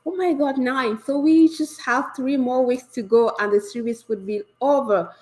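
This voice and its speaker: A woman leadership coach hosting a live session, slightly raspy from a developing cold, talking with eager, encouraging energy and lots of questions. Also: high-pitched, normal speed, poor audio. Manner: energetic, warm and encouraging, asks many questions. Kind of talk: enthusiastic live coaching talk.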